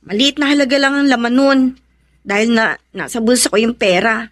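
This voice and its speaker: A woman's voice: fairly high pitch, a little fast, close to an everyday voice. A woman playing a young, practical but plaintive commuter in a Filipino radio drama. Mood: rueful, annoyed.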